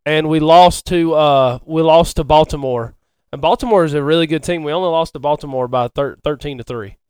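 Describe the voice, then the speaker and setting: A man co-hosting a casual sports chat, speaking haltingly and often repeating himself. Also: on the high side, darkish tone, clean tone, dry recording. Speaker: podcast co-host.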